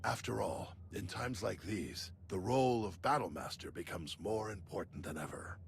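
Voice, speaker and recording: A man's voice: on the low side, lively intonation, moderate pace, creaky, slight background noise. An actor voicing an earnest, dignified male Jedi scholar in a video game, with formal, solemn phrasing. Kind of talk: video game cutscene acting.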